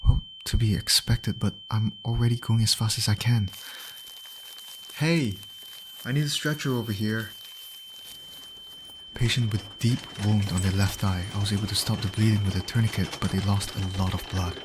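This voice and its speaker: A man's voice: on the low side, wildly swooping pitch, moderate pace, noisy background. A man acting a caring field medic in an ASMR roleplay, speaking directly and reassuringly to an injured patient. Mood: urgent, alarmed. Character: male field medic, calm, caring, urgent under pressure.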